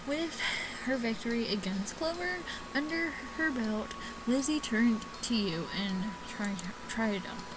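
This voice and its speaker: A woman doing casual gameplay commentary, reading game text aloud haltingly and often stumbling and rereading lines. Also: a little high, lively intonation, background noise. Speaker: let's player reading a visual novel aloud. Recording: music playing behind the voice. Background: game music.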